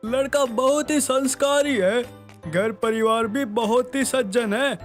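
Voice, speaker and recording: A woman's voice: fairly high pitch, measured pace, faint music behind, lightly acted. A woman voicing a kindly middle-aged male neighbour in a story, fond and persuasive. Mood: approving. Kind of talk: animated moral-story dialogue.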